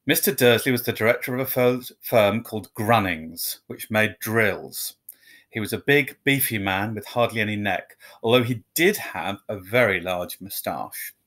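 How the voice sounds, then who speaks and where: A man reading a children's story aloud to students, with measured phrasing and expressive, dramatic emphasis. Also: lowish voice.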